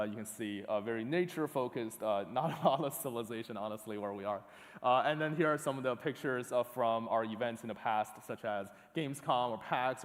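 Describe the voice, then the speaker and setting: Man speaking in an echoing auditorium. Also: mid-range voice. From northeast China. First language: Chinese. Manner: halting, many uh fillers and restarts. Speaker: conference speaker. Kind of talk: conference talk with slides. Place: large auditorium. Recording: long hall reverb.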